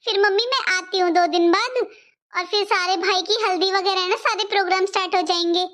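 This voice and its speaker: A woman's voice: extremely high pitch, steady tone, a little fast, a bit bright. A woman voicing an impatient young married daughter in a cartoon family drama, nagging and pressing as she talks. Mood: brisk, pressing. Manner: pressing, complaining.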